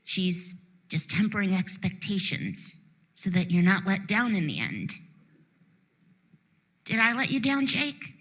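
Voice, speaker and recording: A woman playing a gentle, consoling mother in an audio drama, soothing and tentative. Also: mid-range voice, big pitch swings, unhurried, long pauses, poor audio. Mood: tender, consoling.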